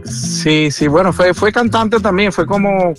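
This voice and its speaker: A Venezuelan man with a slightly high voice talks as a singer being interviewed. He is affectionate and casual, often repeating his words, with tropical music playing softly behind him. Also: measured pace. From Maracaibo, Venezuela. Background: tropical dance music playing underneath.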